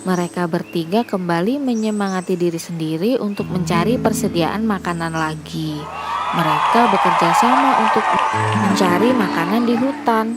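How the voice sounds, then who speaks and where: A woman's voice: fairly low pitch, moderate pace, over loud music. A woman reading a scripted children's fable as its storyteller. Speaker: narrator.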